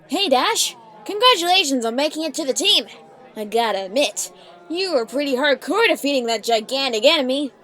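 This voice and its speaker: A woman's voice: high voice, lively intonation, a little fast, long pauses. A woman playing a confident, upbeat female pony team leader in a fan audio drama. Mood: congratulatory.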